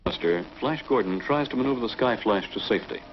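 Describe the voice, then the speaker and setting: A man's voice: mid-range voice, expressive, heavy background noise. A male narrator giving scripted, announcer-like narration for a 1950s TV drama. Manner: announcer-like, measured. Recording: dull lo-fi 1950s TV soundtrack.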